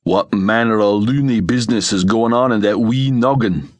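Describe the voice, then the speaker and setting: A man's voice: fairly low pitch, normal speed, dry recording, close to an everyday voice. A man voicing a grumbling Scottish soldier in an audiobook story, exclaiming colourfully with a Scottish accent. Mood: wary. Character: gruff Scottish sergeant, loyal, grumbling, aghast at danger.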